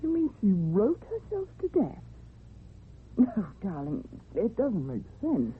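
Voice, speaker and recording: A thoughtful, affectionate wife, very husky and unhurried. Her pitch swings widely in radio drama style. The recording is muffled and band-limited. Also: wildly swooping pitch, exaggerated voice. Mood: thoughtful, intrigued.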